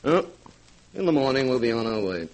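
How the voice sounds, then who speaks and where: An actor playing a cautious, scheming houseguest with a husky voice in a 1940s radio horror drama. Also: male voice, mid-range voice, sing-song, a little fast, rough recording. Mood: conspiratorial.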